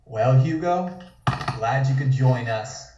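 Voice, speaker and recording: A man acts an awkward would-be charmer in a period comic read. He speaks slowly and haltingly, and sounds dull and distant in a roomy space. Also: medium pitch, mellow, lightly acted. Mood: uneasy, amiable. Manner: hesitant, halting. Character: smooth-talking, awkward man on a night out with a woman.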